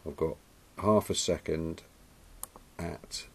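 A man talks through a welding demonstration in a workshop, casual and matter-of-fact, calling people "mate". His voice sits very low with a rough, gravelly edge. The camera mic picks up clear workshop noise behind him. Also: steady tone, slow. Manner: chatty, matter-of-fact, says "mate" and "cheers". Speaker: welding instructor. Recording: noisy workshop camera audio.

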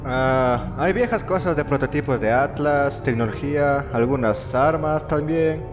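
A man's voice: medium pitch, unhurried, over music, lightly acted. A man voicing a flippant young corporate schemer in a Latin American Spanish game dub. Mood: wry. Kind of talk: fan dub of a video game.

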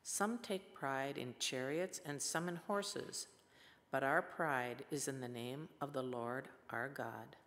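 An older woman reading scripture aloud at a church pulpit, steady and measured. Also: extremely low pitch. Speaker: scripture reader. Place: church sanctuary at wooden pulpit. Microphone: gooseneck pulpit mic. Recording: long hall reverb.

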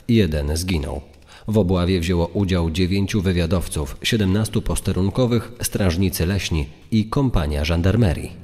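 A man narrates a crime documentary from a script. His pitch is very low, and the voice carries a long reverb. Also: a little mellow. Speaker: secondary narrator.

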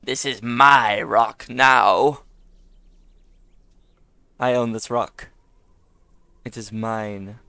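Hoarse raspy man, slow gravelly talk. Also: medium pitch, dry recording. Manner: chatty, laughs often, excitable outbursts. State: hoarse from being sick. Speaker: gaming YouTuber. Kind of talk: casual gameplay commentary.

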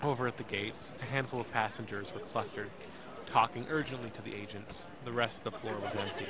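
A man's voice: on the low side, fairly even intonation, close to an everyday voice. Man acting an intense young narrator over crowd noise. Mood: tense, afraid. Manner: intense, halting, repeats words. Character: anxious, emotional young man recounting his ordeal. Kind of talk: dramatic first-person narration in audio drama.

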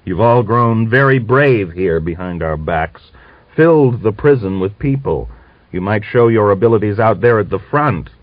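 A man's voice: on the low side, lively intonation, normal speed, no room sound, lightly acted. A man voicing a defiant, hot-tempered Cossack commander in an audiobook, curt and challenging. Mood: scornful. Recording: dull lo-fi audiobook recording.

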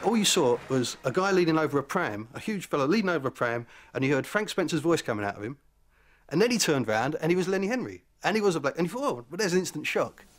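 A man speaks quickly and emphatically in a documentary interview. He is recorded indoors. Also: fairly high pitch, big pitch swings.

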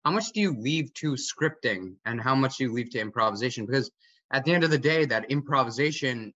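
A man's voice: mid-range voice, expressive, fairly quick, sharp tone, no echo. A young man in his twenties, an actor, asking an eager, winding question over a video call from a home kitchen. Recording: dull, dry video-call audio.

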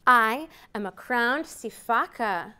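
A woman's voice: a little high, expressive, slow, sharp tone, clean tone. A woman in her thirties reading a picture book aloud to children in a library room, counting up with big rising calls. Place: library program room, indoors.

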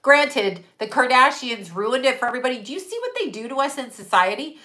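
A middle-aged woman rants bluntly and profanely on a home live stream, her nose stuffy. Her voice is bright and cutting and sits a little high. She is caught by a webcam at desk distance in a room at home. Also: big pitch swings, brisk. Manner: blunt, profane, emphatic with hand gestures. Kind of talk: casual live-stream rant.

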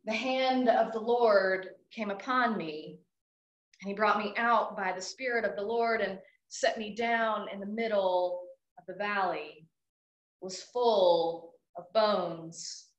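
Woman worship leader, mid-pitched, very slow measured reading, echoey room. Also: muffled recording. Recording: echoey hall.

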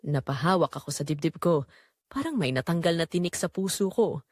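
A woman's voice: lowish voice, lively intonation, fairly quick, no room sound, studio quality. A woman playing a remorseful, wounded young woman, narrating her confession earnestly and emotively in an audio drama with a Filipino accent. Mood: reflective, tender. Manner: earnest, reflective, emotive delivery. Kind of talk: scripted first-person dramatic narration in Tagalog audio drama.

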